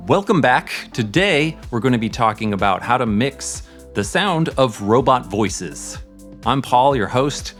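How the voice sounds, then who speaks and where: A man's voice: mid-range voice, animated, unhurried, faint music behind. A middle-aged man hosting a casual audio tutorial, explaining things as a sound mixer would. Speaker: host, sound editor and mixer.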